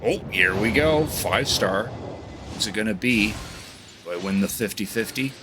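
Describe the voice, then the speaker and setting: Deep-voiced man streaming a game. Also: a little slow, noisy background. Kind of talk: casual livestream game commentary. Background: game music.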